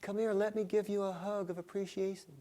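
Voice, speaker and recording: A giant woman who lives in a lake, syrupy and smothering, coaxing in a high, sweetened tone, as a male storyteller puts her on. She pushes her affection with a pleading sing-song. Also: little pitch movement, a little fast, mellow, lightly acted.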